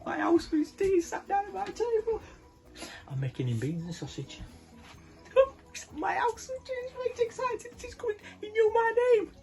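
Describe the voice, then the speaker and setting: A storyteller voicing an excitable, clumsy little tax collector man who is flustered, breathless and apologetic. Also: mid-range voice, measured pace, pauses often, faint music behind, voice pushed up, natural voice. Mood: nervous.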